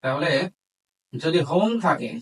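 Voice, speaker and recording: A man with a Bangladeshi accent and a slightly high voice gives an instructional grammar lesson. He explains step by step, dictating in small pieces and switching between Bengali and English terms. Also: animated, takes it slowly, rough recording.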